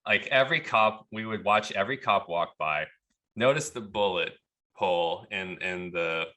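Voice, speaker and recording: A man from Texas tells a story on a podcast, loose and conversational. His voice sits low but has a bright, forward edge to it. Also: normal speed. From Texas, USA. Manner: relaxed, chatty, laughs at the absurd parts. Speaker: podcast guest. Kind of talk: casual storytelling.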